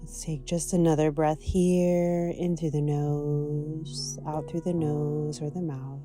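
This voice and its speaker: A woman teaching yoga, guiding a practice in a gentle, soothing, unhurried manner. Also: low-pitched, little pitch movement, over music. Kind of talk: guided yoga and meditation instruction.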